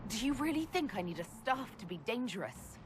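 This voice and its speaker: A woman's voice: fairly high pitch, big pitch swings, brisk, music in the background. An actress playing a defiant, self-possessed young female elf mage held prisoner in a video game. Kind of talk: dramatic video game acting.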